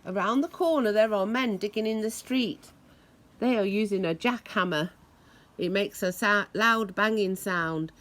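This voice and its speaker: A woman reading a children's story aloud in a deliberate, expressive way, imitating the sounds it describes. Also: medium pitch. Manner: deliberate, acts out noises expressively.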